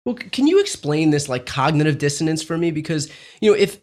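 A man's voice: fairly high pitch, sing-song, fairly quick, a little mellow. A man interviewing a political candidate, asking long, pointed questions. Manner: leading questions, pointed and rising.

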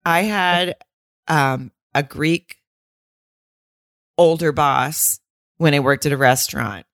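A woman's voice: deep voice, slow, no echo, clean studio sound. A woman co-hosting a casual recap podcast, joking and laughing often with rambling asides. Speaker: podcast co-host.

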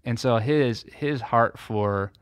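A man talking as a guest in a casual conversation, telling lively stories and acting out his own nervous reactions. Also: medium pitch, slow pace, smooth, dry recording, studio quality. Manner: animated storyteller, acts out his own nervous voice. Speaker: podcast guest, author.

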